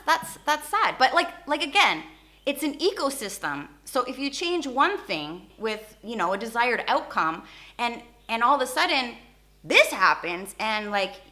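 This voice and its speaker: A woman vlogger speaks in a somewhat high, sharply bright voice. She rants informally to her viewers with animated, opinionated delivery. Indonesian words and phrases slip easily into her English. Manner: animated, informal, acts out short imagined exchanges. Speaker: YouTube host. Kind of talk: casual opinionated rant to viewers.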